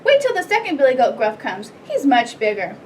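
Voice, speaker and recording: A woman's voice: high voice, huge pitch swings, brisk. A woman voicing a tiny, timid young male billy goat in a children's storybook, with a small, pleading way of talking. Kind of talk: children's storybook read-aloud. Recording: distant mic, light background noise.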